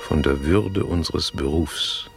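An actor playing an emotional, grateful French head chef in a German radio play, speaking slowly and fervently. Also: male voice, rumbling low, music in the background, exaggerated voice. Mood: fervent, sorrowful gratitude.

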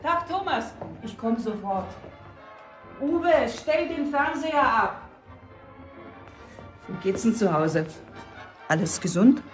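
Radio drama: stern, bossy pub landlady and mother, high-pitched, roomy echo. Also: big pitch swings, slow, pauses often, soft background music. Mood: businesslike. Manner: bossy, impatient. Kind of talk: German radio drama acting.

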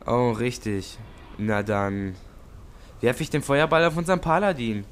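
A man's voice: medium pitch, lively intonation, unhurried, a little hiss or hum, close to an everyday voice. Dry, smug tabletop player acted by a man. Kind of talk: German comedy audio drama acting.

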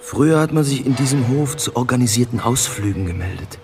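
A man's voice: mid-range voice, expressive, brisk, background noise, lightly acted. An actor playing a wistful townsman who chronicles resort life in a German radio drama.

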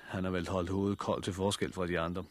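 A man's voice: low voice, flat delivery, close to an everyday voice. A man acting in a 1960s radio drama as a level-headed, persistent survivor, terse and matter-of-fact. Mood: sober, pondering.

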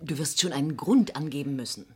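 A woman acting in a German radio crime drama as an inquisitive, sceptical office assistant, questioning and a little hesitant, with a very husky voice. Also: low-pitched, expressive, brisk, crowd noise. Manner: questioning, hesitant, dryly persistent. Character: inquisitive, gently sceptical female office assistant, worried about her brother.

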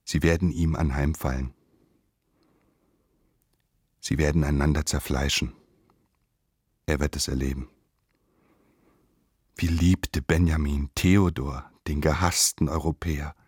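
Male narrator for literary radio drama. Very low, unhurried, emphatic and measured, in a dry studio. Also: fairly even intonation, a little mellow, breathy edge, no room sound. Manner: measured, declamatory, emphatic phrasing.

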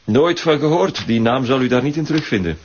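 Man playing evasive shelter director, Flemish accent, formal, smoothly insistent, radio crime drama, muffled recording with noticeable noise. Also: mid-range voice, expressive, fairly quick, lightly acted. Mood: smooth, dismissive. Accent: Flemish Belgian. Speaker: voice actor in a detective serial.

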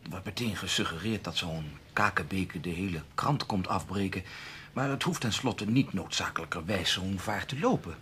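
A man's voice: low-pitched, moderate pace, fairly bright tone, slight background noise. An actor playing a timid, cautious male newspaper colleague in a Dutch radio play, hesitant and stammering, with a Netherlands accent. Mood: placating.

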